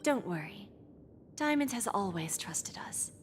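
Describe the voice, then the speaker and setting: An actress voicing a suave, scheming woman pawnbroker, breathy and half-whispered, smooth and teasing, in video game voice acting. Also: medium pitch, big pitch swings, measured pace. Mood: sly. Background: game music.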